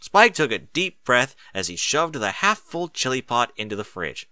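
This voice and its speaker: Male audiobook narrator, expressive and bright, with lively pitch movement. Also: normal speed, no room sound. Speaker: audiobook narrator of fan fiction. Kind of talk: scripted story narration.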